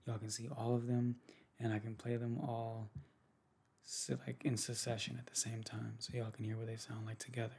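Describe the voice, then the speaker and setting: A man in his thirties speaking softly in casual ASMR style, unhurried and often slipping into whispers. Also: medium pitch, monotone. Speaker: ASMR host. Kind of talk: soft-spoken ASMR, casual chat to viewers. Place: small home room, indoors. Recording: faint background noise and room ambience.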